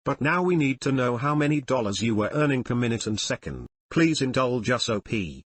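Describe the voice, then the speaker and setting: A man's voice: medium pitch, big pitch swings, fairly quick, no echo. A man narrating forum stories and comments from a script, in the role of a reader. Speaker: narrator reading online forum posts and comments.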